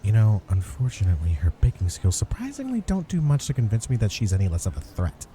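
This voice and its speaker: A man's voice: lowish voice, huge pitch swings, fast, warm tone. A man playing an earnest, sarcastic superhero in a comedic ASMR roleplay, talking straight to the listener with grudging, wry concern. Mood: earnest warning. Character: earnest, wry male superhero griping at a cafe.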